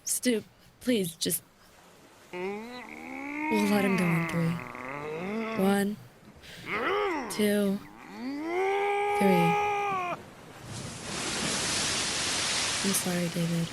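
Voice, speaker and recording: An actress playing a frightened, cautious young woman held hostage in an audio drama, anxious and halting. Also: on the low side. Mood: grieving, shaken. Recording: loud noise behind the voice.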